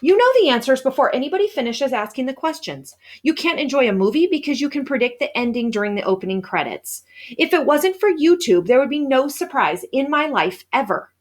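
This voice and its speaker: Woman voicing an earnest, excitable little genius schoolboy. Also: high voice, brisk, pitched above the performer's own voice. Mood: emotional. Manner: lecturing, pedantic, earnest. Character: small, nerdy, starry-eyed genius schoolboy, earnestly argumentative.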